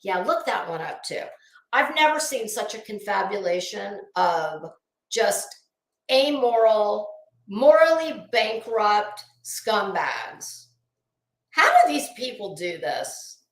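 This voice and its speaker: A woman's voice: medium pitch, sing-song, slow pace. A woman hosting a casual livestream, talking to her viewers in a blunt, sarcastic, scornful way and swearing freely, in a roomy, echoing recording. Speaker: livestream host.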